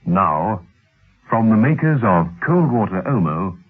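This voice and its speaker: A man's voice: fairly low pitch, sing-song, unhurried, background noise. A man announcing a vintage radio programme and its sponsor in a formal scripted style. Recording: tinny, band-limited vintage radio recording. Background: loud theme music.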